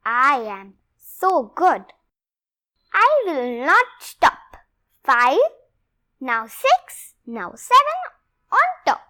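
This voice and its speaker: Bright, high-pitched young girl reading aloud. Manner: expressive, deliberate word-by-word reading in short phrases. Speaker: read-along presenter. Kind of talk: read-aloud of a rhyming picture book.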